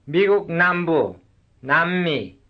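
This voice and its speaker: Man, drill instructor, slow measured cues, fairly high voice, muffled lo-fi sound. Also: animated. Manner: slow, measured cue words, one at a time. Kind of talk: reading substitution drill cues. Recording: dull lo-fi recording.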